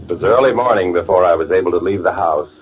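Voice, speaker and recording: An actor playing a bitter, gloating murderer who poses as his twin, confessing in halting first-person 1940s radio drama narration. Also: low voice, close to an everyday voice. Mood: grim, matter-of-fact. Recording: tinny vintage radio recording with music.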